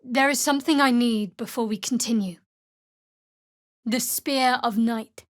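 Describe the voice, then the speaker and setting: A woman voicing a guarded, sardonic young female cleric in a fantasy video game, with a dry, measured, wry delivery. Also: fairly high pitch, dry recording, natural voice. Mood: serious, measured.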